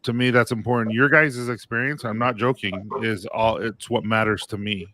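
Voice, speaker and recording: A man chats loosely on a livestream, tired and laid-back, rambling from thought to thought. His voice has a bright, forward edge. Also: fairly low pitch, fairly even intonation, moderate pace. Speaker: business owner and host. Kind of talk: casual livestream chat.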